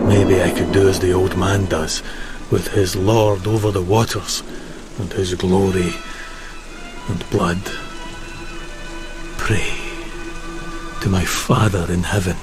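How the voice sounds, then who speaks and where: An actor playing a bitter, educated Romanian ship's officer who broods scornfully in a gothic horror audio drama monologue. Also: male voice, low-pitched, little pitch movement. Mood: bitter, brooding. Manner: slow, deliberate, brooding monologue with sardonic bite. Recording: rain and thunder under eerie music.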